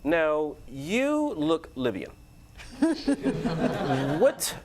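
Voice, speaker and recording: A man's voice: a little high, wildly swooping pitch, extremely slow, background noise. A man hosting a comedic panel discussion, teasing guests and laughing often. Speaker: talk show host.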